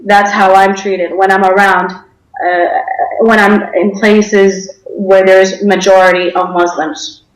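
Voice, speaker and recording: A woman's voice: mid-range voice, flat delivery, slow. Young woman from Denmark in echoey room. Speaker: interview guest. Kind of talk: personal testimony in an interview. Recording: echoey, roomy sound.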